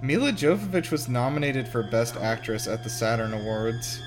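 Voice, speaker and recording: A man's voice: mid-range voice, normal speed, over music. A man reacting casually to a film in an incredulous, questioning manner. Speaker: host of a movie reaction show. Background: film soundtrack playing behind.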